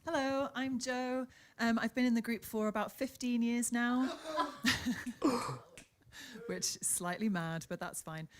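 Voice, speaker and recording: A woman's voice: fairly high pitch, takes it slowly, long pauses, noisy background. A woman from London with a London English accent, a singer introducing herself casually. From London, England. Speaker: singer in a vocal group.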